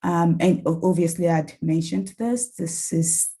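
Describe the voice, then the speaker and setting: A young woman from Nigeria, a nurse researcher speaking with a soft Nigerian-tinged accent, giving an online talk with many ums and restarts in an earnest, encouraging way. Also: on the low side, measured pace, slightly rough. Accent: Nigerian-tinged English. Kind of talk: online academic talk with slides.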